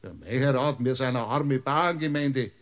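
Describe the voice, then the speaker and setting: An actor in a radio play playing an evasive, folksy middle-aged village mayor on the witness stand, with a Bavarian accent and a long-winded, stubbornly literal way of talking. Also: male voice, medium pitch, moderate pace, a bit bright, close to an everyday voice. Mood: rambling storytelling.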